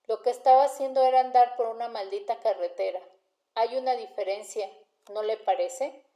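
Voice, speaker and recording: A woman's voice: fairly high pitch, mellow, roomy sound, close to an everyday voice. A woman voicing a defiant, wry male drifter who answers police questioning with sardonic retorts in an audiobook. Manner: sardonic, argumentative, unhurried retorts. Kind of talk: audiobook character dialogue.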